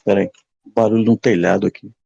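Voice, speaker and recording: A man's voice: lowish voice, fairly even intonation, fast, vocal fry, mellow, lo-fi sound. A man with a Northeastern Brazilian accent chatting casually and colloquially as a podcast panelist. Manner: colloquial, slangy. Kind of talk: casual chat.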